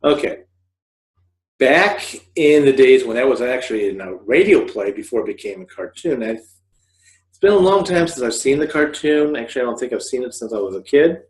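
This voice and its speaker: A man's voice: mid-range voice, expressive, normal speed. A man in his forties giving an informal, rambling instructional talk to camera, often correcting himself as he explains. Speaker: host of a scriptwriting video podcast, fiction writer. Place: living room couch, indoors. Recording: roomy, echoey home recording.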